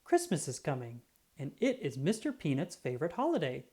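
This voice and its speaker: A woman reading a children's storybook aloud in an expressive, unhurried way. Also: deep voice, breathy edge. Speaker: children's book reader.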